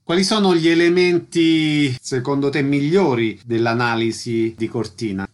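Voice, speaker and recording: A male radio host speaks at a medium pitch in a studio. He puts questions to guests and reads out announcements in a presenter's style. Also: normal speed.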